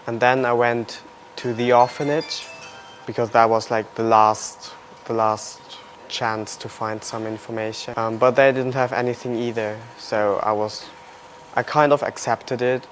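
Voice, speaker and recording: A man in his early twenties from Germany, speaking non-native English with a German accent, telling his personal story in a halting, plain way. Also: medium pitch. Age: early 20s. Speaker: interviewee, adoptee searching for birth family. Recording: loud music under the voice. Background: music.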